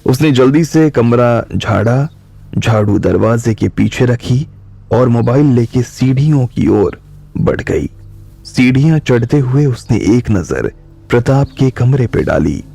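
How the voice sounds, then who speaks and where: Male audiobook narrator, slow and measured, dark husky tone. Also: medium pitch. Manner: calm, measured storytelling. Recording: soft music bed.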